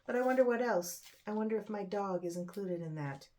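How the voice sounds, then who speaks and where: A woman reads a children's picture book aloud, pausing to explain simply and put questions to her young listeners. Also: medium pitch, a little mellow. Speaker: read-aloud storyteller for children. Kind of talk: picture book read-aloud with chatty asides.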